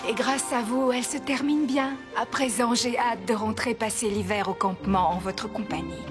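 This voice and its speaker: A woman playing a gentle, contented elderly woman in an animated series. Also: on the high side, moderate pace, over music, natural voice. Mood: grateful, relieved. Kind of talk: animated series character acting.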